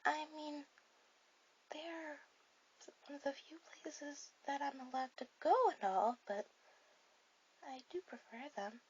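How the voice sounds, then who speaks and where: A woman voicing a shy, sweet, bookish teenage anime girl in a soft-spoken, hesitant ASMR roleplay. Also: high-pitched, steady tone, takes it slowly, long pauses. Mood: gently chatty. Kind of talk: ASMR romantic roleplay addressed to the listener. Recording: dull lo-fi audio with clear hiss.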